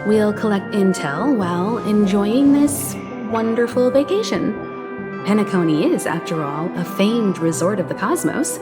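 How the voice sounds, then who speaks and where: A woman voicing a poised crew leader in video game dialogue, measured and authoritative, with music behind her. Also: mid-range voice, lightly acted. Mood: calm, serious. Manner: measured and authoritative, gives calm orders.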